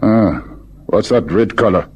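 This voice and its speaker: An actor playing a senior police brigadier, a gruff, musing superior with a husky voice, in an old radio drama. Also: male voice, fairly low pitch, a little slow, a little mellow. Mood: curious.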